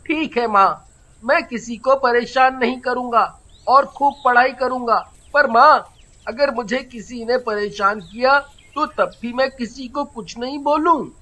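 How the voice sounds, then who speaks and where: A woman voicing an innocent, earnest young schoolboy in a children's story, childlike and deliberate. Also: on the high side, pushed and bright. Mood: eager, obedient.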